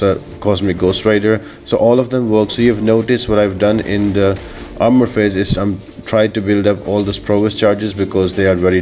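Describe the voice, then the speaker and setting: Low-voiced man giving game tips. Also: a little mellow. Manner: instructional, explains step by step. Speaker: gaming video creator. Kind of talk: video game guide commentary.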